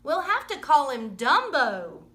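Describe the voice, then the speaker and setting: A woman's voice: high voice, big pitch swings, measured pace, bright, forceful tone, exaggerated voice. A woman voicing haughty, gossiping storybook lady elephants, scornful and emphatic.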